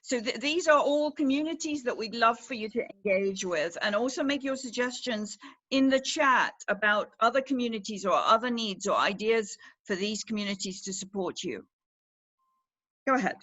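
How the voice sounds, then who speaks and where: A woman moderating a virtual panel over a video call, halting with many uh fillers. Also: fairly high pitch, a bit bright, dry recording. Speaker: session moderator. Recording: dull lo-fi audio.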